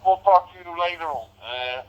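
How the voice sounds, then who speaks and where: A man's voice: on the high side, moderate pace. A man from the Netherlands with a Dutch accent chatting casually over digital ham radio, often adding "eh?" and repeating phrases hesitantly. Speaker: amateur radio operator. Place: in a parked car, engine running. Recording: muffled, band-limited digital ham radio transmission.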